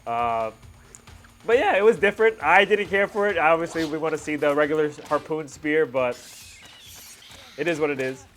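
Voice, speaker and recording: A man's voice: a little high, normal speed, pauses often, a bit bright, soft background music. A man in his thirties giving casual reaction commentary as a video host, talking like an enthusiastic fan with slangy asides.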